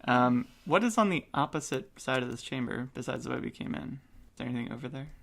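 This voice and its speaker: A man's voice: mid-range voice, lively intonation, fast talker, vocal fry, fairly bright tone. A man chatting casually as a player in a tabletop role-playing game.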